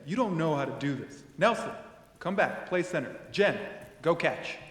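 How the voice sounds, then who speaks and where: An actor playing a brash, bossy, foul-mouthed teenage quarterback in an audio drama. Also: male voice, on the high side, animated, normal speed, fairly bright tone. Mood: cocky, impatient. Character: brash, bored teenage boy, cocky high school quarterback. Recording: roomy, reverberant cave-like echo.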